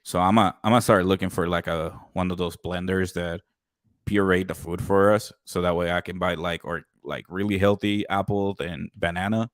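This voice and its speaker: A young man hosting a casual podcast, upbeat and loud, swearing freely. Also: low voice, fairly even intonation, moderate pace, dry recording, studio quality. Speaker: podcast host. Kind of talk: casual chat.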